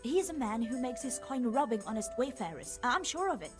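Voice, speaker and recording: An actress playing a young tavern maid in a video game, coy, sweet and affectionate. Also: female voice, on the high side, big pitch swings, fairly quick, music in the background. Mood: concerned. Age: young adult. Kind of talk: video game cutscene acting.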